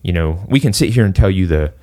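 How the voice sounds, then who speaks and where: A man with a very deep voice, from Singapore, talking as a fitness coach on a podcast. His speech wanders loosely, stacked with little fillers as he works through each point. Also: expressive, quick pace, a little mellow. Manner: loose and rambling, full of "you know" and "like". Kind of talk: casual podcast conversation.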